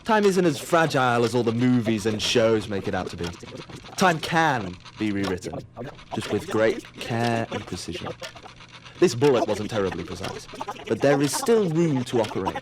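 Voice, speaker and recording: An actor playing a wry, ominous narrator of a sci-fi audio drama, dramatic and sardonic. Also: male voice, medium pitch, sing-song, normal speed, noisy background, lightly acted. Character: wry, ominous, all-seeing storyteller of a sci-fi tale.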